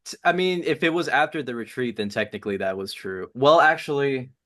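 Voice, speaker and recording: A young man co-hosting a casual podcast chat, emphatic and quick to correct details. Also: medium pitch, expressive, fairly bright tone. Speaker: podcast co-host.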